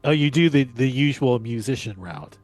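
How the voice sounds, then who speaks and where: A man's voice: mid-range voice, animated, moderate pace, a little mellow, no echo. A man hosting a podcast interview, asking curious, encouraging questions in a casual way. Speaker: podcast host.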